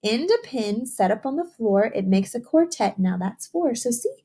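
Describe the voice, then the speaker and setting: Animated woman reading aloud to young children. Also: a little high, breathy edge. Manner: enthusiastic, encouraging; expressive, drawn-out reading with simple questions to listeners. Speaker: teacher reading a picture book aloud. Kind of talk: read-aloud lesson addressed to young children.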